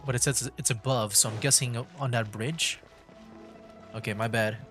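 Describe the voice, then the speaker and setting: A man's voice: mid-range voice, steady tone, moderate pace, fairly bright tone, faint music behind. A man hosting a game livestream with casual commentary, musing aloud in a rambling way. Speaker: livestream gamer host.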